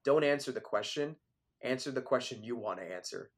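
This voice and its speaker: A man's voice: mid-range voice, lively intonation, a little fast. A young adult man, a filmmaker guest, talking casually in an interview, full of fillers and asides. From Philadelphia area, born in Switzerland. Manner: conversational, many fillers like "like" and "you know".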